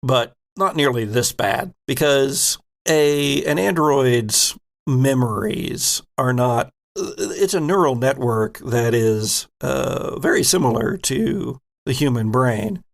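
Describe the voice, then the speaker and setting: A man running a tabletop game as game master, narrating the scene slowly and with care. His voice has a gravelly edge. He paces each description deliberately. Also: mid-range voice, animated.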